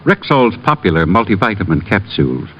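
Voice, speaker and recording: Older-style radio ad guy, deep and animated, dull vintage recording. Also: a little fast. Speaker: commercial pitchman. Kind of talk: 1940s radio advertising read. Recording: muffled old radio recording.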